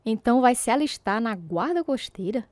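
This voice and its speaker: An actress with a Brazilian accent playing a boxer's devoted, supportive wife and young mother in a radio drama, gentle and sincere as she speaks and narrates. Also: a little high, animated, moderate pace, close to an everyday voice. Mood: curious. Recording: guitar music under the voice.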